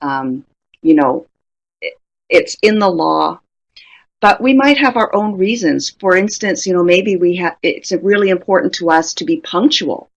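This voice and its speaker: Low-voiced woman presenting a webinar. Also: lively intonation, a little slow, gravelly, highs cut off. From Canada. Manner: conversational, reflective, asks the audience questions.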